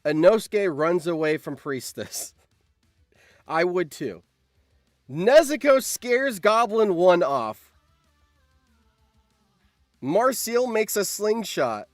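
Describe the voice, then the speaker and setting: A man live-streaming as host, reading out game results with animated, excitable commentary and surprised exclamations. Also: high-pitched, slow pace, long pauses, no echo, studio quality, soft background music.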